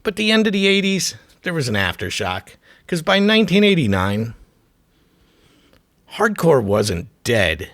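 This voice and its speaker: A man's voice: on the high side, sing-song, measured pace, long pauses, a bit bright, no room sound. A man narrating a scripted music documentary as its host, delivering short, punchy, dramatic phrases. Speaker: host of a music history show.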